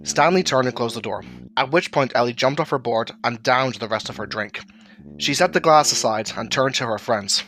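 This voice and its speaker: A man's voice: medium pitch, normal speed, a bit bright. A man narrating a scripted audio drama story. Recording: roomy echo, music underneath. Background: background music.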